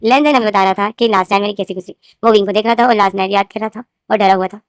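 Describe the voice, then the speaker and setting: A woman's voice: mid-range voice, steady tone, rapid-fire, clear, resonant tone, dry recording, clean studio sound. A woman with a sore throat casually retelling her own fanfiction story, rambling and repeating herself as she talks to her viewers. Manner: rambling, repeats names and phrases, addresses viewers as guys. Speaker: fanfiction author and narrator.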